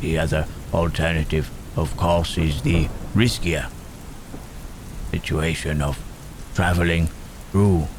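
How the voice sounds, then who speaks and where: A man voicing Frankenstein's monster, a gentle, brooding, long-lived creature, in halting, musing phrases for an ASMR roleplay drama. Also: rumbling low, noisy background, heavily acted. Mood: cautious, deliberating. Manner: halting, deliberate phrasing with musing questioning murmurs. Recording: steady rain and thunder sound effects. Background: rain and thunderstorm ambience.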